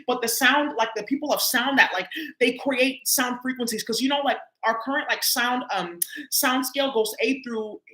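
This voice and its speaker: A woman's voice: on the high side, normal speed, pushed and bright. A woman doing a casual tarot reading for her viewers, talking loosely and profanely with lots of filler words. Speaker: tarot reader. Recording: echoey room.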